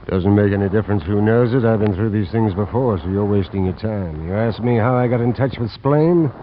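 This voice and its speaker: Guy acting tough dismissive man in an old radio play, low voice, faint hiss. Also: fairly quick, close to an everyday voice. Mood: defiant. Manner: curt, dismissive. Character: defiant, hardened male drug customer. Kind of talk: 1950s radio drama acting. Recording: muffled old radio recording, faint noise.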